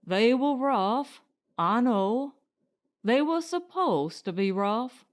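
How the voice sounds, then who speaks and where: A woman's voice: a little high, animated, slow. A woman voicing a weathered middle-aged male colony director in a storybook, frank, formal and matter-of-fact.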